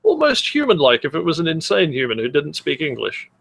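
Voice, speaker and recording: A man's voice: fairly high pitch, huge pitch swings, brisk, creaky, fairly bright tone. A man co-hosting a podcast in casual banter, with a sardonic, sweary way of talking. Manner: sardonic, profane. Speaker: podcast co-host.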